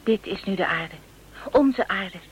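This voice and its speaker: A woman's voice: medium pitch, animated, measured pace, lightly acted. An actress playing an earnest, emotive young woman settling on a new planet in a radio play. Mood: solemn, hopeful. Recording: radio drama with faint noise.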